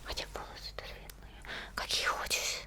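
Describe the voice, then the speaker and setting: A woman playing a friendly, attentive monster girl in a whispered ASMR roleplay, speaking gently and unhurriedly in a breathy murmur to the listener. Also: normal speed, close to an everyday voice. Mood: focused, attentive.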